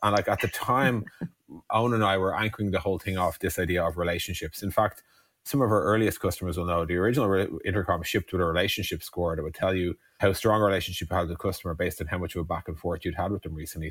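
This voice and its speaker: A low-voiced Irish man talks quickly in a casual, conversational way. He tells founder stories with frequent digressions, leaning on "like" and "you know". The sound is dry and close, with little room. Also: fairly even intonation, dry recording. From Ireland. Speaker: startup co-founder being interviewed.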